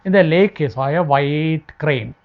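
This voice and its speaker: A woman with an Indian accent telling a folk tale in halting, repetitive, unscripted phrasing. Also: low-pitched, phone-quality sound. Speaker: storyteller.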